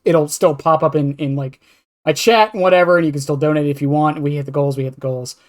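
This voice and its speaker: A man's voice: fairly high pitch, steady tone, fast talker. A man chatting casually on a podcast as a streamer, rambling and self-deprecating, with some swearing.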